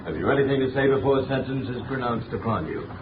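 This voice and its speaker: A stern, unshakable judge, played by a man, speaking in measured formal sentences, heard distant and echoey through a thin, phone-like old radio recording with heavy hiss. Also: mid-range voice, lively intonation, close to an everyday voice. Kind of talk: 1930s radio drama acting. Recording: tinny, echoey, distant, noisy 1930s radio.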